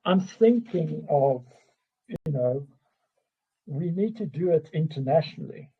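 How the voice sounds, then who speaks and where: Husky-voiced man, slow and halting. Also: fairly high pitch, animated, dull audio. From South Africa. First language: Afrikaans. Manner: halting, restarts often, openly emotional. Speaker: guest. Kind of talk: casual conversation.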